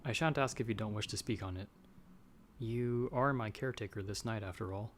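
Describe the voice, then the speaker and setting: A man's voice: fairly low pitch, brisk, a little hiss or hum, close to an everyday voice. A man playing a humble young farmer's son in an audio roleplay, speaking hesitantly with courteous, old-fashioned formal phrasing. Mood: awed, shy. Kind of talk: ASMR audio roleplay acting.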